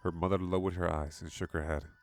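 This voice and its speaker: A man narrating a scripted horror story in a measured, dramatic manner. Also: deep voice, level tone. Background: background music.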